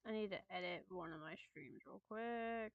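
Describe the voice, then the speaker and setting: A woman's voice: mid-range voice, normal speed, no room sound. Woman streamer on thin, phone-like audio. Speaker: livestreamer. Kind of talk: casual livestream chat.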